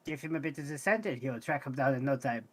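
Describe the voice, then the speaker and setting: A man voicing a blunt robot shopkeeper in a tabletop game, curt, deadpan and dismissive of organic beings. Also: mid-range voice, fast, voice pushed down. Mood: impatient, scornful.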